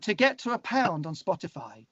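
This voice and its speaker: British man, slightly high-pitched, earnest. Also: big pitch swings, moderate pace, lo-fi sound, crowd noise. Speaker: interview guest, musician and songwriter. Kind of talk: casual conversational interview.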